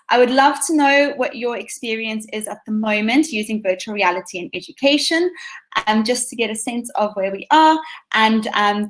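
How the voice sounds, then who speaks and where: Brief: South African woman, teacher presenting over a video call, bright higher voice, eager, with frequent ums. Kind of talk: conference presentation.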